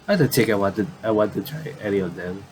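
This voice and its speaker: A man's voice: lowish voice, fast talker, a little mellow, slight background noise. A man doing casual let's play commentary, reading game text aloud and rambling a little as he repeats and rephrases himself. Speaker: gamer reading a visual novel aloud with commentary.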